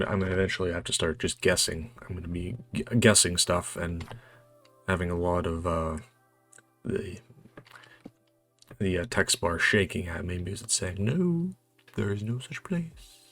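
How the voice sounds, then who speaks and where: North American male gamer, lowish voice, unhurried and hesitant, casual think-aloud commentary over music. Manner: muttering to himself, questioning, hesitant. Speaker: host playing a geography quiz challenge. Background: music, computer keyboard typing.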